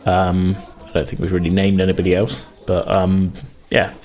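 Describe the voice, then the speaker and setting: A man's voice: deep voice, completely flat delivery, normal speed, darkish tone. A British man running a tabletop game, improvising his narration with hesitant, hedging phrasing. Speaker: game master.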